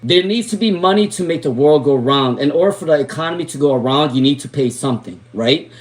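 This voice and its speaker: Live-stream tarot reader, man, voice sits a bit high, raspy from talking all day, chatty and digressive. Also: expressive, quick pace. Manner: chatty, drifts into asides and sung snatches.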